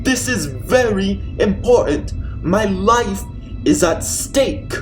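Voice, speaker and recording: A man acts out a fussy, scheming lord of the manor in a radio mystery spoof. His voice sits fairly high and swings widely, with theatrical exclamations and self-important airs, over music. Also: expressive, slow pace. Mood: scolding. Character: fussy, melodramatic, self-important villainous master of a mansion. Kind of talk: old-time radio murder mystery comedy acting.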